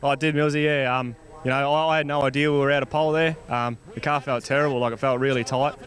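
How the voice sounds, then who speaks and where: A man's voice: mid-range voice, fairly even intonation, quick pace, a bit bright, clear, resonant tone. An Australian man, a racing driver, giving casual interview answers outdoors at a race track.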